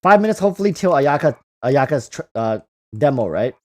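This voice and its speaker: A man's voice: mid-range voice, sing-song, brisk, a little mellow. A man hosting a casual livestream, reading out and answering viewer comments, often repeating phrases as he thinks aloud. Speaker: livestream host.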